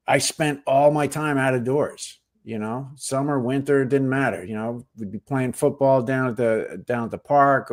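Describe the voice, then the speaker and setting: A middle-aged man from New Jersey, a guest in a relaxed interview, telling stories in a self-deprecating way that often trails off into low asides. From New Jersey, USA. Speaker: guest, actor and Marine veteran. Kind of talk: casual interview chat.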